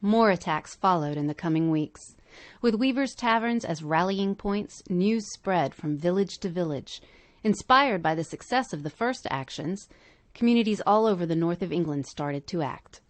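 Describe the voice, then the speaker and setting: A woman reading scripted documentary narration for a podcast in a measured way. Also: fairly low pitch, expressive.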